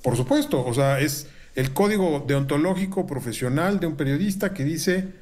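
A middle-aged Mexican man, a university researcher, giving measured, formal analysis from a room at home over a video call, with frequent filler pauses. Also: a little high, expressive, a little mellow. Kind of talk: analytical commentary. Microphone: video call webcam.

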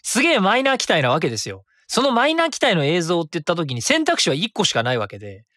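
A man's voice: high-pitched, wildly swooping pitch, fast talker, pushed and bright, no echo, clean studio sound. A man hosting his own web radio show, chatting and reading listener comments in a rambling way with many fillers.

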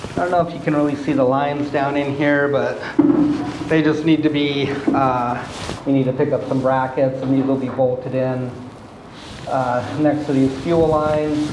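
A man in his thirties giving a relaxed, plainspoken walkthrough of a boat refit, with plenty of ums and uhs. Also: mid-range voice. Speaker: boat owner showing a refit. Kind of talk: casual walkthrough video. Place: inside a fiberglass boat hold and on deck. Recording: echoey hull with faint background noise.

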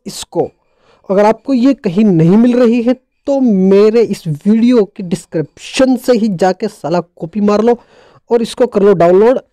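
A man's voice: sits high, warm tone, no echo. A man narrating a casual how-to tech tutorial in a playful, jokey, colloquial way.

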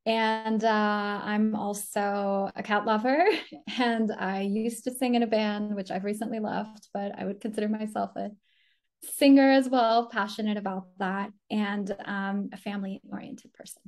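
A woman's voice: mid-range voice, little pitch movement, a little slow, a little mellow. A woman, raised in the US after a Colombian birth, explaining her work as an inclusive design consultant thoughtfully in a video call interview from home. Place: home room indoors. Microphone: laptop mic on a video call.

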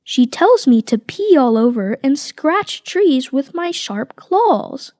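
A woman reads a picture book aloud to young children, slowly, with a lively, sing-song lilt. Her voice sits a little high and the recording is close and dry. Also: no room sound. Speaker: reader voicing tiger cubs.

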